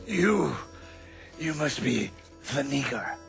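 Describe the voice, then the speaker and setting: An actor playing a hateful, sneering villain who taunts in a game cutscene. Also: male voice, medium pitch, big pitch swings, vocal fry, heavily acted. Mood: contemptuous. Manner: drawn-out, taunting. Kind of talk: dramatic video game cutscene acting. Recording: loud music over the voice.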